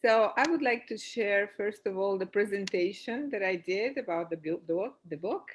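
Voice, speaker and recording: A woman's voice: medium pitch, moderate pace, a little hiss or hum. Venezuelan-born woman on a video call. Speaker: author guest. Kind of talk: casual video call chat.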